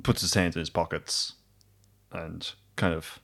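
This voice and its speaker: A man's voice: low voice, steady tone, measured pace, gravelly, fairly bright tone. A man improvising casual roleplay and narration as a player in a tabletop game podcast, music behind him. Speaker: player in an actual-play role-playing podcast.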